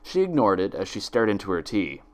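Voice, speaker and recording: Male narrator, slightly higher-set voice dipping and rising expressively, theatrical, measured, dramatic story reading. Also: big pitch swings, a little mellow. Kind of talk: dramatic fan fiction audiobook narration.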